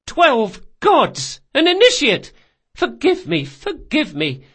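A man's voice: very high-pitched, wildly swooping pitch, takes it slowly, a bit bright, pitched above the performer's own voice, heavily acted. A man voicing a clumsy, over-apologetic boy in an audiobook, gushing and fussy. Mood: gushing apology.

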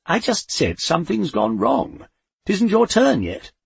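A man's voice: mid-range voice, huge pitch swings, moderate pace, creaky, darkish tone, slightly rough, no echo, pitched above the performer's own voice, exaggerated voice. A man playing a flustered, apologetic Death. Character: nervous, lightly built male Death with clipboard.

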